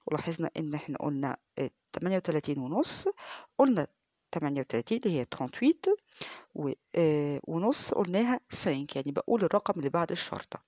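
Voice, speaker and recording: A woman's voice: low voice, lively intonation, a little mellow, no echo, clean studio sound. Egyptian woman teaching French phrases. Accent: Egyptian Arabic. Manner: explains in quick Arabic, repeats French phrases slowly and clearly. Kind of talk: language lesson, reading dialogues aloud.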